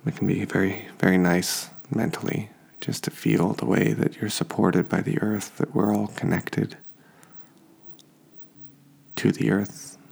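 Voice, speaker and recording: A tired man hosting a sleep podcast, speaking softly in an unhurried, relaxed and understated ramble. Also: sits low, gravelly, slightly rough. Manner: slow, deliberately relaxed, understated. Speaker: podcast host. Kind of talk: soft-spoken rambling sleep podcast monologue.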